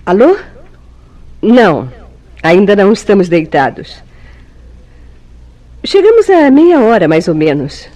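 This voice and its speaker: A woman's voice: medium pitch, big pitch swings, takes it slowly, long pauses, mellow. A woman playing a composed, evasive young bride in a vintage Brazilian radio soap, with theatrical, formal diction. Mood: sociable, polite.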